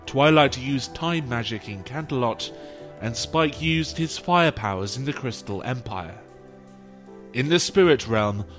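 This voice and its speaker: A man reading a scripted narration that recaps a fantasy story. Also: medium pitch. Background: background music.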